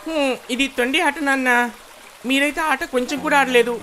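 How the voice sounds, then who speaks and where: A performer voicing a playful, boastful young boy with an excited, teasing manner in a children's cartoon story. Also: high-pitched, moderate pace, slight background noise.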